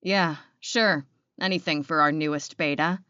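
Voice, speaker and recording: A woman's voice: low voice, a little slow, bright, forceful tone, smooth, no room sound, highs cut off. A woman voicing a loyal, obliging male werewolf sentinel in an audiobook. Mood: friendly. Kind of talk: audiobook character acting.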